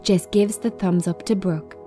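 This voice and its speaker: A woman reading screenplay directions as scripted narration, in measured, short phrases. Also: mid-range voice, fairly even intonation, warm tone, soft background music. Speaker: screenplay reader.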